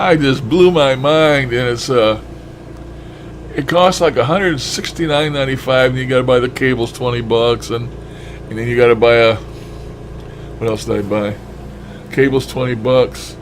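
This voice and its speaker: A man's voice: mid-range voice, moderate pace, pauses often, slight background noise. A man casually demonstrating a gadget as a hobbyist vlogger, talking in a folksy, colloquial and enthusiastic way. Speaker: ham radio hobbyist vlogger.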